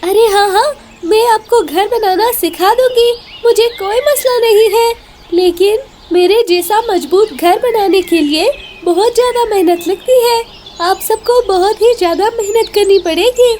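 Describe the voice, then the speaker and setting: A woman's voice: extremely high pitch, measured pace, a little mellow, slight background noise. A woman voicing a small, earnest female bird in a cartoon story, with an exclamatory manner. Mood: helpful. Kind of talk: Hindi cartoon story dialogue.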